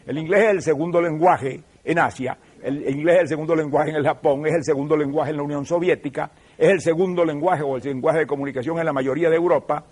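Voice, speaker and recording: Older man, Venezuelan accent, slightly high voice, measured lecturing, emphatic. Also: a little mellow. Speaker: TV show host. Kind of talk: televised lecture.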